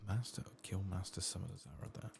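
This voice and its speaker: A soft-spoken man giving hushed, unhurried ASMR commentary as he plays a game, murmuring and musing to himself, at times nearly whispering. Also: sits low, gravelly, a little hiss or hum. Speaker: gaming video creator.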